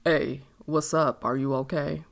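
Woman voicing a low, gravelly, smooth-talking suitor. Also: steady tone, measured pace. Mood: reassuring, concerned. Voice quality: low baritone. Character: True, smooth-talking, confident, tender man courting a woman. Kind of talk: audiobook romance dialogue.

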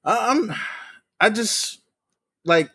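A man's voice: high-pitched, expressive, drawn-out words, sharp tone, husky, dry recording. A man in his late thirties talking casually as the host of a podcast. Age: late 30s. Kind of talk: casual chat.

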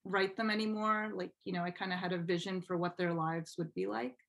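A woman's voice: on the low side, fairly even intonation, a little fast, creaky, fairly bright tone. A woman speaking casually as an interview guest, chatty and self-deprecating in an informal way. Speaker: podcast guest, fan fiction writer.

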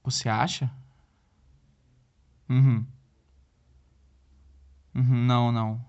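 A man's voice: mid-range voice, darkish tone. Needy young Brazilian boyfriend, played by a male actor, hesitant and stammering, comedic audio drama, slightly dull recording. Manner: hesitant, stammering, repeats himself. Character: flustered, needy boyfriend pleading for help by phone. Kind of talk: comedic audio drama, one side of a phone call.

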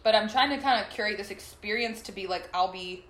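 A young woman with a low, slightly raspy voice, talking loosely as a guest on a podcast. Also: fairly quick, a bit bright. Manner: chatty, loose, lots of fillers. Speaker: guest singer and artist. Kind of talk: casual podcast chat. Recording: roomy echo.

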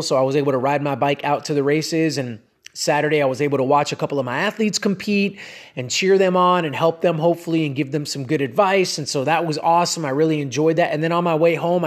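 A man in his late thirties talks alone into a podcast microphone in a loose, rambling way. His voice sits a little high for a man, and he stops often with "um" and "you know" and starts his sentences over. The delivery is relaxed and conversational, like catching up with regular listeners. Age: 30s. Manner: casual, rambling, with frequent fillers and restarts. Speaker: podcast host and cycling coach. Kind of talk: solo podcast monologue.

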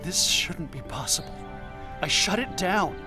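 A man playing a scatterbrained, earnest anthropologist in an audio drama, with an emotional and halting delivery. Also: high voice, lively intonation. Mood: bewildered, wary. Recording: music behind the voice.

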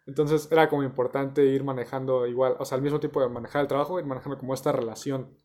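A man's voice: medium pitch, steady tone, quick pace, darkish tone. A young man, an architecture student telling stories in an easygoing, casual chat. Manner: easygoing storyteller, laughs often.

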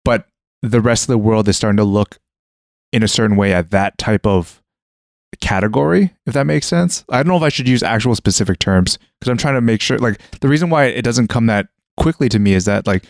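A young man with a fairly low voice muses aloud in a relaxed conversation. He often restarts sentences and leans on "like" as he gropes for his point. The sound is dry and close to the mic. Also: a little fast, gravelly, no room sound. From Western world (Canada). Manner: hesitant, many restarts and "like" fillers, thinking aloud. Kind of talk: casual conversation.

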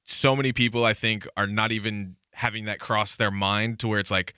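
Clear, bright young American man. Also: lowish voice, fairly even intonation, normal speed, no echo, clean studio sound. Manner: informal, supportive. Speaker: podcast co-host. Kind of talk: casual chat.

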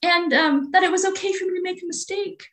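A woman's voice: very high voice, fairly even intonation, fast, fairly bright tone. A woman in her late forties talking earnestly and from the heart as a guest in a faith-based conversation, often repeating her phrases. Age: 40s.

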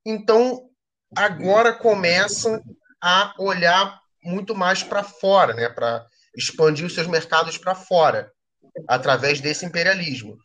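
A man with a Brazilian Portuguese accent explaining history in a casual, lively way, often checking in with his listeners. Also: high-pitched, unhurried. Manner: colloquial, lively, frequent "tá?" check-ins. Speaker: history teacher. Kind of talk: explaining history to a class or show.

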